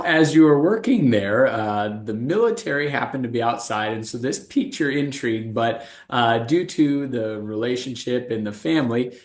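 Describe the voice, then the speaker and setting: Mid-pitched man hosting a conversational interview. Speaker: podcast interviewer. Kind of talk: conversational interviewing, summing up then prompting.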